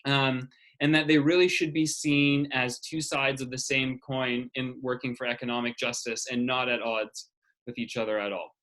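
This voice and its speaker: Articulate young man with bright voice. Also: mid-range voice, flat delivery, normal speed, low-quality recording. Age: young adult. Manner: articulate, structured argument, occasional fillers. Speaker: youth network presenter. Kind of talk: presentation on a video call. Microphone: video call.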